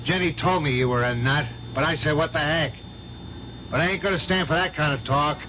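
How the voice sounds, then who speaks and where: Blunt, gruff trucker, muffled noisy recording. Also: male voice, fairly high pitch, brisk, pushed and bright. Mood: indignant. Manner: blunt, colloquial, folksy. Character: gruff, good-natured working-class male truck driver. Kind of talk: radio drama acting. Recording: muffled, noisy old radio broadcast.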